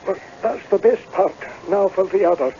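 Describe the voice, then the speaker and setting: On a muffled old radio recording, a man plays a laird's Scottish rent collector. His voice is high and soft-edged, yet he is stern and grave, speaking in gloomy warnings. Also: normal speed, lightly acted. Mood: urgent. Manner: grave, foreboding. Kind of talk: radio drama acting. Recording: muffled old radio broadcast, faint noise.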